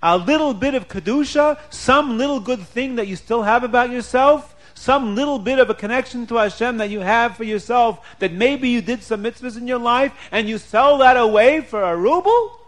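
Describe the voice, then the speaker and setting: A man's voice: fairly high pitch, big pitch swings, quick pace, hardly any pauses. A man voicing an outraged wealthy wife who scolds her husband in indignant rhetorical questions, in an oral story.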